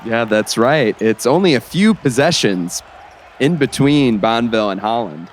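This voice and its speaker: Man playing a lively basketball play-by-play announcer. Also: medium pitch, measured pace, some chatter behind, slight background noise, natural voice. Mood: analytical. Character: animated, opinionated male small-town basketball play-by-play announcer. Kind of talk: basketball play-by-play in an audio drama.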